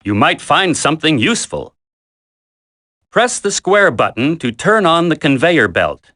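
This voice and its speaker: Bright, animated, blunt military instructor. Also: male voice, on the high side, unhurried, no echo, studio quality, heavily acted. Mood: instructive, brisk. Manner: blunt, drilling, emphatic instructions. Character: stern, gruff male military combat instructor briefing a trainee. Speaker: training instructor. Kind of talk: video game tutorial voice acting.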